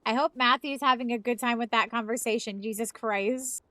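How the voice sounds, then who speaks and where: A woman's voice: fairly high pitch, normal speed, pushed and bright, no echo. A woman in her thirties, a live streamer chatting casually, rambling and swearing freely. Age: 30s. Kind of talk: casual chat.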